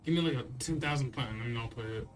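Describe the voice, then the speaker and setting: A man's voice: mid-range voice, rapid-fire, a little hiss or hum. A young man in a casual gaming reaction chat, opinionated, full of slang and swearing.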